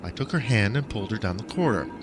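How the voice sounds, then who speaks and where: A man reading first-person fiction aloud as an audiobook narrator, with an expressive, dramatic delivery. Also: lowish voice, racing, noisy background.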